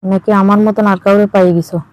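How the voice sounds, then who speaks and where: A woman acting as a coy, pining girlfriend on an intimate late-night call. Her voice is mellow and soft-edged, in a very dry, close sound. She teases and gently reproaches her faraway lover with suggestive hints. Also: mid-range voice, flat delivery, brisk, dry recording, lightly acted, rough recording. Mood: wistful, reproachful.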